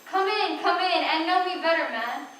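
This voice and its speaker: Teen girl doing grand giant spirit in a radio play, sharp voice, far from mic. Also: very high voice, normal speed. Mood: commanding. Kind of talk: student radio play acting. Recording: echoey room, distant mic, background noise.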